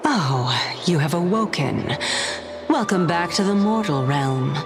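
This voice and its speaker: A woman playing a serene, solemn keeper of death in a fantasy game, gentle and formal. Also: lowish voice, expressive, slow pace, over music. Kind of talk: scripted fantasy game acting.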